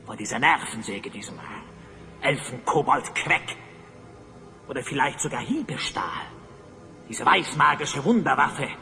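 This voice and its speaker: Grandiose evil wizard villain, kinda high forceful voice, big reverb, audio play. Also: male voice, full character voice. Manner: theatrical, grandiose, brooding villain monologue. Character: pompous evil sorcerer, self-styled Master of Time. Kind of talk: German audio drama acting. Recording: long reverb, music underneath.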